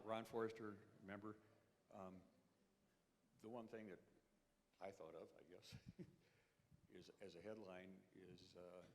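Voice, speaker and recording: A man offering a headline idea at a forum, hesitant and a little self-conscious. His voice is very deep. He sounds distant in a reverberant hall with faint noise. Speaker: forum member.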